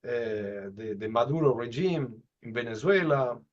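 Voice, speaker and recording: Interviewer, fifties, hesitant delivery, dull webcam sound. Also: male voice, mid-range voice, animated. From Argentina and Brazil. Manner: halting, searching for words. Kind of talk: interview questions over video call. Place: office with bookshelves. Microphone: video call webcam.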